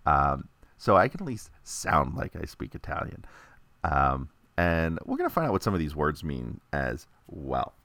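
Very deep-voiced man, chatty and dry. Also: wildly swooping pitch, a little slow, fairly bright tone, no room sound. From United States. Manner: chatty, many "uh" fillers, lightly joking. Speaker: toy collector presenting a video. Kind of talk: casual informational monologue.

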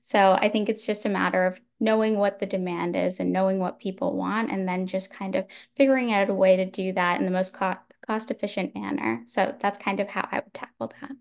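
A woman's voice: medium pitch, steady tone, brisk, gravelly, few pauses. A young woman answering a debate question as a student candidate, explaining her ideas conversationally. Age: young adult.

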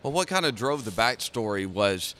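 A man's voice: on the low side, lively intonation, normal speed, bright, forceful tone. A man chatting casually as a guest in a podcast interview. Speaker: podcast guest, seminary professor. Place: conference center during a busy event. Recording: faint busy venue noise behind.

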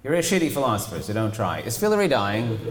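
A cool young queen, flat and bored on the surface and commanding underneath, as a man puts her on. He lifts his voice high for her. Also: fast talker, reverberant, in a large hall, a crowd in the background, close to an everyday voice. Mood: scornful.

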